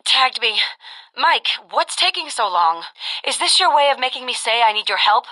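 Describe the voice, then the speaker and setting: A woman's voice: fairly high pitch, very animated, normal speed, sharp tone, heavily acted, low-quality recording. An actress playing a cool, wry, self-possessed woman photojournalist and sniper in a video game. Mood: tense, urgent. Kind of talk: video game voice acting, naturalistic dialogue.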